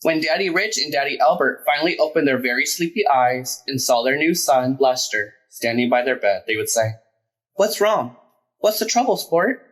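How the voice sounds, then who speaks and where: Bright-voiced man reading a children's story. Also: medium pitch, expressive, moderate pace, low-quality recording. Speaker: storyteller, drag performer. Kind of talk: children's picture book read-aloud.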